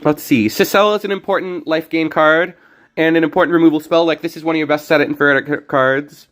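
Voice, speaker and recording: A young man streaming a card game, talking casually and rambling with many restarts, his voice slightly hoarse. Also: a little high, steady tone, quick pace, fairly bright tone. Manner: rambling, self-correcting. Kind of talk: casual gameplay commentary.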